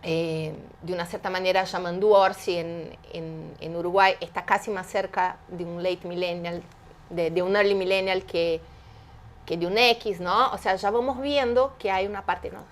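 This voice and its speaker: A woman's voice: on the low side, steady tone, normal speed. Emphatic woman in her fifties. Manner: emphatic, explanatory, with rhetorical questions. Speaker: guest, entrepreneur. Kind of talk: animated interview conversation.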